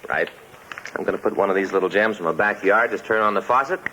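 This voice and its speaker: A man's voice: on the low side, quick pace, sharp tone. A man acting a talkative, earnest policeman with an American accent, in folksy 1950s radio drama style. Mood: eager. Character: chatty, earnest homeowner policeman, enthusiastic and easily aggrieved. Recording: muffled old radio recording, faint noise.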